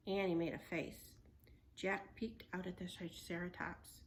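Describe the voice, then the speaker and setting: Slow-paced woman reading a children's story. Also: fairly low pitch, flat delivery, a little hiss or hum. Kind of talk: reading a children's book aloud.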